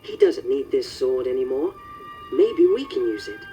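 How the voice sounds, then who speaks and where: A man's voice: mid-range voice, expressive, moderate pace, dark tone, faint music behind, close to an everyday voice. A young man playing an earnest, humble teenage boy hero in a storybook recording, unsure of himself.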